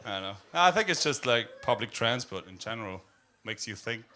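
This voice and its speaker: A man with an Austrian accent doing a stand-up set in a deadpan, darkly humorous way, full of fillers and hesitations. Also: mid-range voice, a little fast, slight background noise. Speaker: stand-up comedian. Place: comedy club stage.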